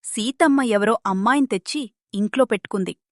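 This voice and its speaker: A woman's voice: on the high side, fairly quick, no room sound, clean studio sound, lightly acted. A woman voicing a disapproving village gossip in a cartoon moral story.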